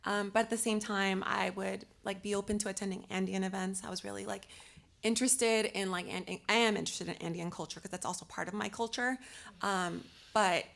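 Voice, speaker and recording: A woman's voice: mid-range voice, fairly even intonation, fairly quick, vocal fry, a bit bright. A young woman from Los Angeles speaking on a panel, hesitant, with many fillers and restarts. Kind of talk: casual panel discussion.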